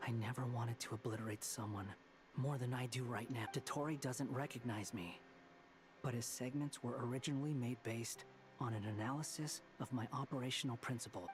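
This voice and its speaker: A man's voice: medium pitch, close to an everyday voice. A man voicing a prickly, aloof young man in a video game, speaking in a dry, curt manner.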